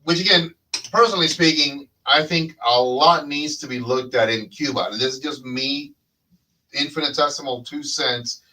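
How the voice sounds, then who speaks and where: A man's voice: mid-range voice, normal speed, pushed and bright, recorded from a distance, rough recording. A man hosting a casual chat, talking in a rambling way with many fillers and restarts. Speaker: podcast host.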